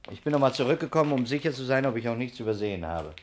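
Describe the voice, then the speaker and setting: An actor playing a shrewd, methodical investigator in a 1960s German radio crime drama, brisk, ironic and commanding. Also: male voice, medium pitch, lively intonation, slight background noise, lightly acted. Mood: businesslike. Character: shrewd, methodical male investigator, coolly pressing suspects.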